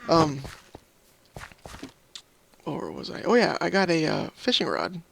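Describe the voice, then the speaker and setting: A man's voice: fairly high pitch, wildly swooping pitch, slow, vocal fry, a bit bright. A man with a tired, slightly scratchy voice giving casual, rambling, apologetic video game commentary, thinking aloud as he plays. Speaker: host of a gaming video series. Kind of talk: casual Minecraft let's play commentary.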